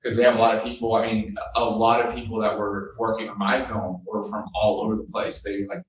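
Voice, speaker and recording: A man's voice: lowish voice, brisk. A man from San Antonio, speaking earnestly as a filmmaker on a panel. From San Antonio, Texas. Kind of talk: panel discussion. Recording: echoey room, distant mic, faint noise.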